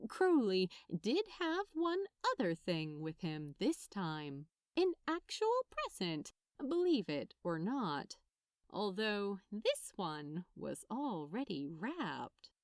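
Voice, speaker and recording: Expressive, unhurried woman narrator. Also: mid-range voice, no room sound, clean studio sound. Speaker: podfic narrator. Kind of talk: scripted narration of light romantic fiction.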